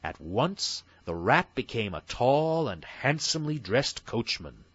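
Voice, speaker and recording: A man's voice: medium pitch, big pitch swings, measured pace, fairly bright tone, slightly rough, dull audio. A man reading a fairy tale as an audiobook narrator, in a scripted bedtime story style.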